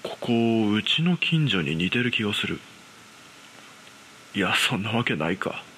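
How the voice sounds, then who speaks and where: Horror radio drama lead: man playing an ordinary, frightened young guy, measured suspenseful narration, forceful tone, faint hiss. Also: on the low side, very animated, full character voice. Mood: puzzled, suspenseful. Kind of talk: dramatised ghost story narration.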